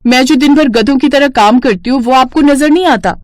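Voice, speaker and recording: Woman acting indignant young daughter-in-law, raised pitch. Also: a little fast, dry recording, studio quality, natural voice. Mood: fed up. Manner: indignant, talks back. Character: outspoken young daughter-in-law, hardworking and fed up.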